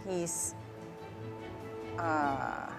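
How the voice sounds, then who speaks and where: Brief: Filipino female TV host, lowish voice, music audible beneath. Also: huge pitch swings. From Philippines. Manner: inquisitive, brisk questions. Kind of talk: interviewing a guest. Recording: clear music behind.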